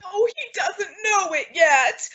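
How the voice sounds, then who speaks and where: A woman's voice: very high-pitched, expressive, slow, pushed and bright, exaggerated voice. A woman playing an emotional, doting housewife in a theatrical, old-time radio comedy style. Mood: tearful wailing. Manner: theatrical, melodramatic, effusive. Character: emotional, dramatic, doting young-ish housewife. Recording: dull, lo-fi audio drama recording.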